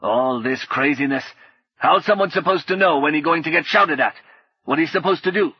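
A man reading an audiobook, voicing a gruff, disapproving father. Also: medium pitch, moderate pace, no echo, lo-fi sound, lightly acted. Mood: grumbling. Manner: gruff, complaining. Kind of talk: audiobook character voice.

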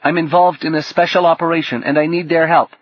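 Man as an earnest, higher-pitched teenage boy. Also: flat delivery, quick pace, no room sound, highs cut off, close to an everyday voice. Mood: insistent.

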